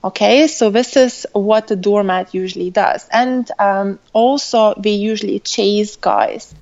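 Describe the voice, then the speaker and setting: A woman with a Lithuanian accent touched by Irish and British speech gives conversational answers as a coach. She takes her time, at a slow pace. The sound is dull and lo-fi, like a remote call. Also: mid-range voice, fairly even intonation, darkish tone. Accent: mixed Lithuanian, with Irish and British influence. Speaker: guest, relationship coach.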